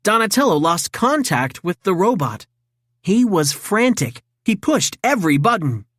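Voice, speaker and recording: A woman reading a children's story in an expressive, dramatic read-aloud style. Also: mid-range voice, unhurried, no echo, clean studio sound. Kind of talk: scripted storybook narration for children.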